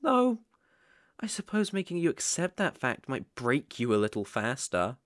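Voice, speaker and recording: A man playing a sadistic, obsessive young kidnapper in an ASMR roleplay, gloating with menacing, mocking, drawn-out phrasing. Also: medium pitch, huge pitch swings, fairly bright tone, no room sound, studio quality.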